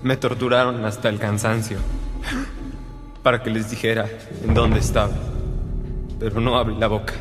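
Casting: male actor as a pained young priest, radio drama, lowish monotone, echoing hall. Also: normal speed, long pauses, over music, lightly acted. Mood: pained, loyal. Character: beaten, loyal young priest, the bishop's nephew. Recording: long hall reverb.

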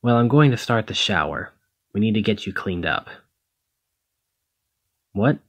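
A man playing a protective, conflicted demigod hero in a one-sided ASMR roleplay, speaking emotively and haltingly to the listener. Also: on the low side, sing-song, creaky, husky, heavily acted. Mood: resigned, hurt. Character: brawny male demigod hero, protective, conflicted, exasperated lover.

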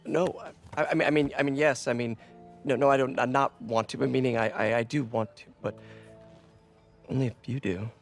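A man's voice: medium pitch, fairly even intonation, fairly quick, soft background music, close to an everyday voice. An actor in his twenties playing an awkward, kind young man in a video game, hesitant and stammering. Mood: flustered, tender. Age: 20s. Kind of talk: naturalistic game cutscene acting.

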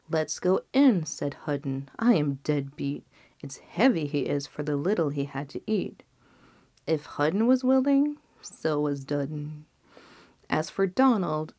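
A woman's voice: on the low side, darkish tone, no echo. A young woman reading a fairy tale aloud indoors, acting out the characters' voices. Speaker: storybook reader. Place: small indoor set with a red curtain.

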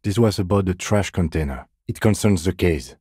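An actor playing a calm, dutiful middle-aged police detective in a video game, with a measured, deadpan, politely formal delivery. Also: male voice, sits low, breathy edge, dry recording, studio quality. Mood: measured, dry. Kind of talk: scripted game character dialogue.

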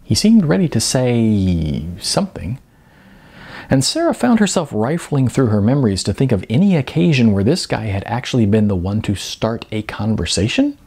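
A middle-aged man giving an expressive scripted narration, as in an audiobook. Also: medium pitch, moderate pace, breathy edge. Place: small bright room indoors.

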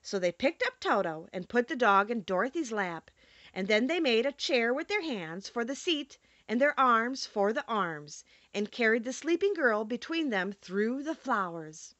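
A woman narrating a children's audiobook in an expressive storytelling style. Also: on the high side, a little slow, no room sound, lo-fi sound.

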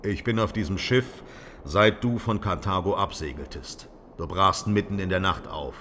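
A man's voice: low-pitched, moderate pace, sharp tone, a little hiss or hum. A man voicing a mysterious, grim and passionate stranger in an audiobook, with a powerful, resonant voice. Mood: solemn. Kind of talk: audiobook character acting.

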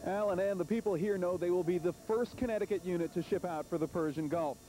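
A man's voice: fast talker, warm tone, low-quality recording. A man in his thirties reporting live for TV news with a handheld microphone, outdoors on location. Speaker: TV field reporter. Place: outdoors at an army base, on location. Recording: faint hiss and rumble, old TV broadcast.

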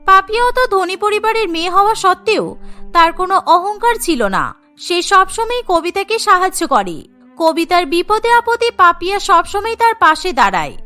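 A woman narrating a cartoon story in a plain, even storytelling manner. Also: extremely high pitch.

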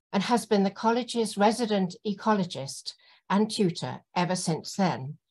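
A woman's voice: mid-range voice, a little mellow. Woman introducing a speaker on a video call. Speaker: moderator. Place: home room with bookshelves.

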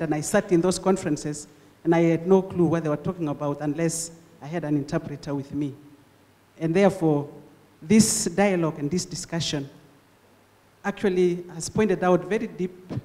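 An older woman speaking into a handheld microphone in a large lecture hall, hosting a conference and reflecting before she introduces the speaker in a measured, formal way. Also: deep voice, warm tone. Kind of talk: formal public address. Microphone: handheld mic. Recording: long hall reverb.

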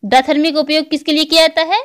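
Indian female tutor, high voice, didactic exam-prep delivery with repeated key points, very dry close recording. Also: steady tone, quick pace, a bit bright, no echo. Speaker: online physical education teacher. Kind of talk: explanatory exam-prep lecture.

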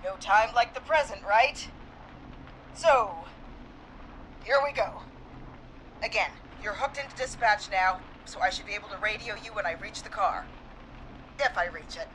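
A woman's voice: medium pitch, moderate pace, pauses often, a bit bright, slight background noise, close to an everyday voice, low-quality recording. A woman acting a resolute but frightened police dispatcher in a video game, urgent and hesitant under stress. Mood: hushed, resolute.

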